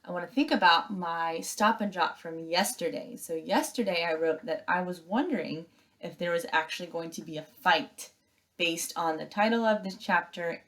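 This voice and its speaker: A woman's voice: low voice, fairly bright tone. A woman teacher reading a children's story aloud expressively and giving instructions to students.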